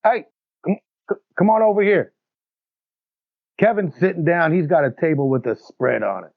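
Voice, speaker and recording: A man's voice: a little high, sing-song, normal speed, creaky, no echo, narrow, tinny audio. A man playing a tabletop game, joking between his character's lines. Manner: joking, laughing. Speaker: player.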